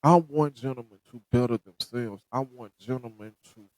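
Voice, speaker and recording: A man's voice: mid-range voice, normal speed, no room sound, rough recording. A man hosting a podcast, giving a direct, motivational talk that repeats its points. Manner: preachy, direct, repeats himself. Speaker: podcast host.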